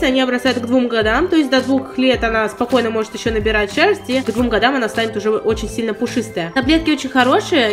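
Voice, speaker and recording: A young woman with a sore throat, chattily reviewing pet products she bought, filmed at home. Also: on the high side. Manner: chatty, detailed, apologetic asides. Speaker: pet vlogger. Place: indoors at home.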